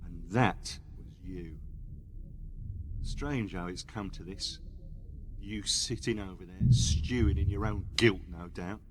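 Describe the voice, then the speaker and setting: A man's voice: low-pitched, slow pace. A man playing a bitter, sarcastic captive tied to a chair in a radio play, rambling and needling with rhetorical questions, with noise behind him. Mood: contemptuous.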